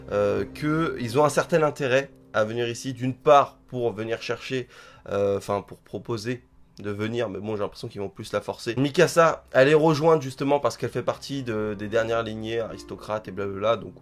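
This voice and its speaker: A man's voice: medium pitch, moderate pace, faint music behind. A man with a stuffy head cold giving a casual spoken manga chapter review, rambling and thinking aloud as he hedges.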